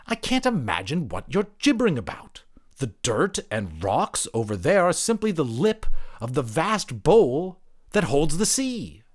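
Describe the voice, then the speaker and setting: A haughty jellyfish-like blob from a storybook, acted out by a man, pitched well up and swooping in tone. It speaks with pompous pride and prickly indignation, spelling out each point deliberately. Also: huge pitch swings, heavily acted. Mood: baffled, snappish. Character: indignant, proud talking jellyfish-like sea blob creature. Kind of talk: audiobook character voice.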